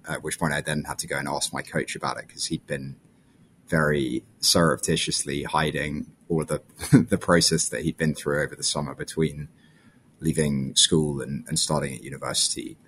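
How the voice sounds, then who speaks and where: Very deep-voiced young Englishman. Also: level tone, normal speed, fairly bright tone, a little hiss or hum. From London, England. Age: 20s. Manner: friendly and reflective, with small restarts. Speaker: guest. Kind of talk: casual interview answers.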